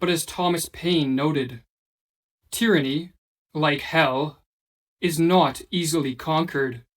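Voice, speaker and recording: A man reading a quotation as a voice-over in a slow, measured, solemn way. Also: on the high side, steady tone, fairly bright tone, poor audio.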